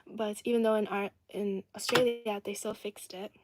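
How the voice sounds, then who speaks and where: A woman's voice: mid-range voice, flat delivery, normal speed, noisy background. A young woman from Ireland hosting a casual livestream, reading viewer comments aloud and answering them in a chatty, giggly way. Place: indoor home setting in Adelaide.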